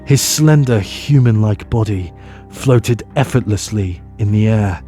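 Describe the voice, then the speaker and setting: A man with a fairly deep voice narrates a fantasy tale. He is solemn and measured, taking his time with dramatic pauses while music plays clearly behind him. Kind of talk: scripted fantasy storytelling narration.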